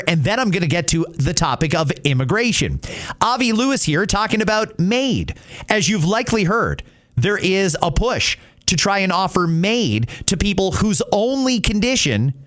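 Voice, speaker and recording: Male podcast host, Canadian accent, fairly high voice, very lively intonation, emphatic, opinionated. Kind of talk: opinion podcast commentary, reading listener messages.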